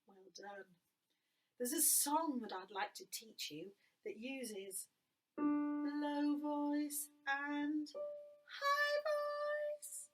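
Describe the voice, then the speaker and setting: A woman with an English accent, teaching young children to sing in a gentle, encouraging way. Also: high-pitched, expressive, drawn-out words, loud music behind the voice, rough recording. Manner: gentle, encouraging, sings phrases for copying. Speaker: music teacher. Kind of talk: children's singing lesson.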